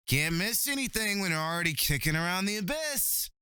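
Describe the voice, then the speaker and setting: A man's voice: sits high, big pitch swings, fairly quick, sharp tone, smooth, dry recording, clean studio sound, exaggerated voice. A man playing a mocking, menacing bandit gang leader in a fantasy visual novel, with a taunting, swaggering manner. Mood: mocking menace.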